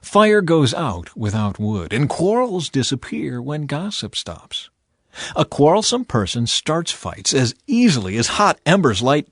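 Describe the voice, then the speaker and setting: A man narrating scripture as a dramatized reading, with a theatrical, emphatic and deliberate delivery over background music. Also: mid-range voice, no room sound, clean studio sound. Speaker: Bible narrator.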